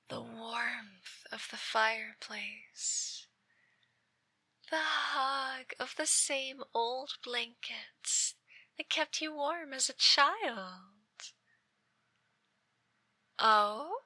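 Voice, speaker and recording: Obsessive stalker ex-girlfriend , played by a woman for ASMR drama: extremely unhurried, crisp and close-miked dry, whispery, theatrical menace. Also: medium pitch, sharp tone, no room sound, exaggerated voice. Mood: tender menace, then taunting devotion. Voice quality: breathy, often dropping into whispers. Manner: slow, deliberate, taunting, theatrical. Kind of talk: ASMR roleplay, dramatic monologue. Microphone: close dry microphone.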